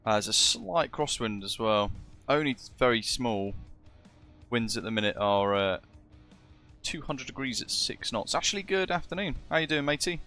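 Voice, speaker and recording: Chesty British man, chatty and bright. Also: medium pitch, lively intonation, normal speed, faint music behind. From Britain. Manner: chatty, greets viewers as matey. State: chesty, slightly husky from a virus. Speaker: streamer acting as virtual air traffic controller. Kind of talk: live stream chat and radio phraseology.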